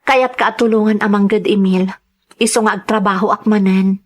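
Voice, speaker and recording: A woman's voice: medium pitch, steady tone, moderate pace, natural voice. An actress playing a devoted, sentimental young wife and mother in an audio drama, speaking earnestly and emotively. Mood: concerned, pleading. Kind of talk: Ilocano audio drama, narration and dialogue.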